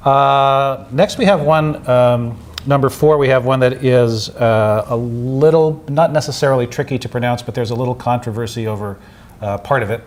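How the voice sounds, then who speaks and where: A man's voice: mid-range voice, normal speed. A man hosting a casual live chat, talking in a rambling way with many fillers and restarts. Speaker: host.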